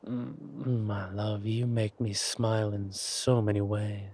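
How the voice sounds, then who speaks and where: Guy doing an evil king for audio rp, low husky voice, silky n flirty but dangerous, fake polite. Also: flat delivery, a little mellow. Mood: playfully menacing. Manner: slow, deliberate, mock-polite delivery. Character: menacing villainous king, silky, amorous, quietly furious. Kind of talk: romantic villain audio roleplay.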